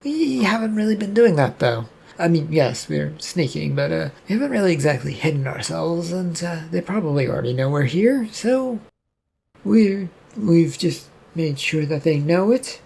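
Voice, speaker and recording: A man playing a casual, wry male demon investigator in a story, with a halting, sardonic manner. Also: on the high side, lively intonation, gravelly, darkish tone, husky, exaggerated voice. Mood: defensive, casual.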